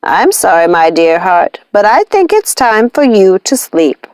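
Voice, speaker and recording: A caring storybook nanny , as a woman reader plays her, firm and protective. She speaks in an earnest, motherly way with lively intonation. The recording is dry. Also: mid-range voice, a little slow, clean tone, no room sound. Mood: tender regret.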